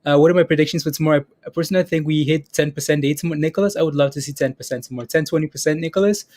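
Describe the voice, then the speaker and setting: A man's voice: fairly high pitch, fairly even intonation, racing, a little mellow. A man hosting a live stock trading stream, chatting casually about price levels and reading viewer names aloud. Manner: chatty, repeats phrases, reads chat names aloud, laughs often. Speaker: stock trading livestream host. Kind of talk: casual live market commentary.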